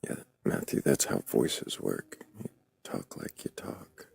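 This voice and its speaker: A man hosting a livestream debate, speaking slowly in a dry, sardonic manner, often dropping to a near whisper. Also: animated, a little mellow. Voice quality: very low gravelly vocal fry. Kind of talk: livestream chat.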